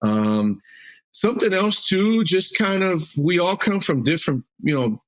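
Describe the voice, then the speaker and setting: A man's voice: sits high, sing-song, unhurried, poor audio. A man from Mexico, a roofing company CEO guest, talking loosely with frequent fillers and restarts. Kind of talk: casual interview answers.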